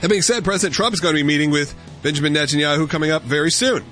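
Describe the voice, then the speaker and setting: Fast-talking American male talk show host. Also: fairly high pitch, fairly bright tone, faint music behind. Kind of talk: live political talk radio hosting.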